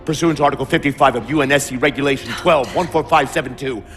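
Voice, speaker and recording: A man's voice: mid-range voice, quick pace, fairly bright tone, lightly acted. Stubborn older male warship captain played by an actor: commanding, officious, game cutscene, cavernous reverb, music underneath. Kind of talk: dramatic video game cutscene acting.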